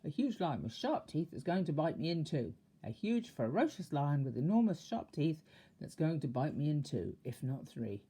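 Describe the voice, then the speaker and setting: A woman's voice: sits low, very animated, normal speed, hardly any pauses, a little mellow, breathy edge, full character voice. A woman voicing a timid little man who is terrified of everything, shrieking and stammering in panic. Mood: panicky.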